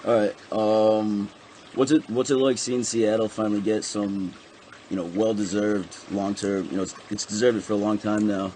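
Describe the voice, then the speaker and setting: A man's voice: lowish voice, steady tone, moderate pace, mellow, a little hiss or hum. A man interviewing a guest, asking long questions with many ums and restarts.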